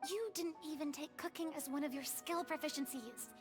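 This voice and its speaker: An actress voicing a small, excitable fairy-like companion in a video game, often exasperated and quick to comment. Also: female voice, sits high, over music, natural voice.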